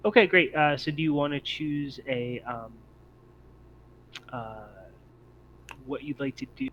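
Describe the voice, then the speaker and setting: A man acting as game master, casually narrating and explaining rules with a hesitant, halting delivery. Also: a little high, dull audio, a little hiss or hum.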